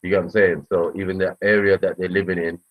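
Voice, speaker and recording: A man's voice: sits low, little pitch movement, fast, no echo. A man in an informal group discussion, backing up points and repeating phrases, recorded in dull lo-fi audio.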